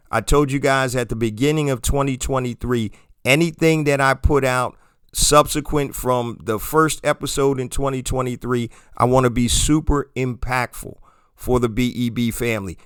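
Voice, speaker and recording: A man with a hoarse voice hosting a solo podcast, speaking straight to his listeners in a motivational, promotional way. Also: medium pitch, fairly bright tone, no room sound, clean studio sound. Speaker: podcast host.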